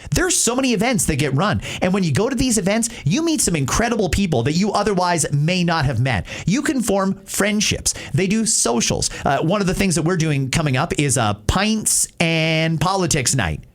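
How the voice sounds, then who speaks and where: A man's voice: high-pitched, lively intonation, normal speed, hardly any pauses. A man with a Canadian accent hosting talk radio, giving emphatic, plain-spoken opinions in a conversational way. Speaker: talk show host. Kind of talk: opinionated talk-radio commentary answering listener messages.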